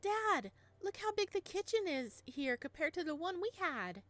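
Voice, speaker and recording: A woman's voice: very high-pitched, big pitch swings, moderate pace, fairly bright tone, no room sound, pitched above the performer's own voice, heavily acted. A woman voicing a sweet, eager little girl, a devoted daughter in a visual novel. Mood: bright, eager. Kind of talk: visual novel character read-aloud.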